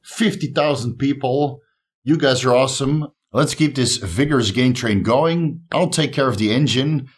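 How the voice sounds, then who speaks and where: A man's voice: medium pitch, moderate pace, husky. A man from the Netherlands with a Dutch accent and a slight speech impediment, talking casually and upbeat to his viewers as a YouTube host. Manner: upbeat, encouraging. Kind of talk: casual talk to camera.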